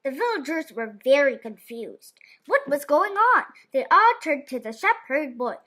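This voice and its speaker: A woman storyteller reads a children's book aloud. Her voice is high and bright, with lively, wide-swinging intonation that gives the narration plenty of expression. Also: unhurried.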